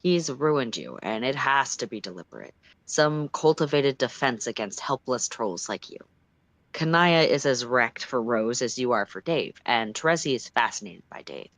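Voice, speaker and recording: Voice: medium pitch, big pitch swings, moderate pace, fairly bright tone, no room sound. A storyteller reading fan fiction aloud in the second person, with an emphatic, dramatic and exasperated delivery. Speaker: storytime livestream reader.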